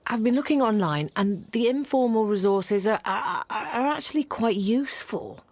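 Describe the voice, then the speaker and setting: A woman's voice: on the high side, animated, normal speed, a little mellow, no room sound. An actress playing an earnest, hesitant woman who speaks politely and halts with fillers, in a radio play. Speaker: local helper arranging refugee hosting.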